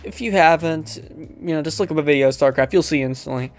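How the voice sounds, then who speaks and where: A man's voice: a little high, quick pace. A man hosting a gaming video, chatting casually and reading game text aloud, often stumbling over the words as he goes.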